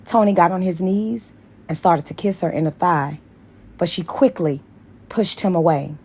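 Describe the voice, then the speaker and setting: A woman's voice: lowish voice, moderate pace, a little mellow, breathy edge. A woman reading a story aloud as scripted audiobook narration. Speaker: audiobook reader.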